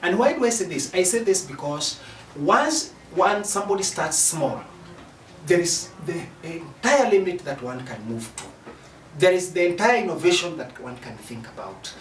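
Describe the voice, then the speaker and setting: Echoey distant recording, man, Kenyan accent, formal interview style, deliberate, emphatic, faint noise. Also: a little high, animated. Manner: deliberate, emphatic, repeats phrases. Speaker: interviewed commentator on youth employment. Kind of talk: formal spoken interview advice.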